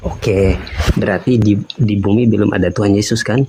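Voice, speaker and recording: A man putting questions in a debate over a voice call, halting and restarting while he keeps checking for agreement. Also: on the low side, fairly even intonation, creaky, noisy background. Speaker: caller questioning a guest.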